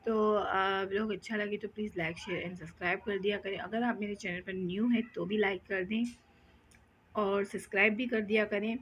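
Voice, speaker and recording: A woman's voice: medium pitch, fairly even intonation, moderate pace, fairly bright tone, slight background noise, low-quality recording. Chatty woman vlogging her housework. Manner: chatty, rambling, many fillers. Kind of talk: casual home vlog narration. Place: home during housework.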